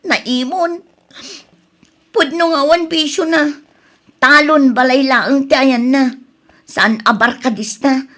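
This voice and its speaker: A woman's voice: sits high, steady tone, slow pace, long pauses, a bit bright. A woman acting a hurt young wife who confides about her troubled marriage in a radio drama. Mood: bitter, confiding.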